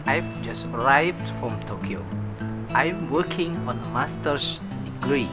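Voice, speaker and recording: A man introduces himself in careful practice English, his pitch moving in a lively way. Loud plucked guitar music plays under his voice. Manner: careful, deliberate English phrasing. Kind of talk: scripted role-play dialogue for language practice.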